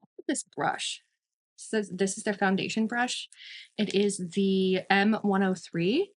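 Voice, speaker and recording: Woman, mid-thirties, medium pitch, beauty vlogger, casual, chatty, emphatic, repeats words for emphasis. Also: fairly even intonation, unhurried. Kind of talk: casual makeup review.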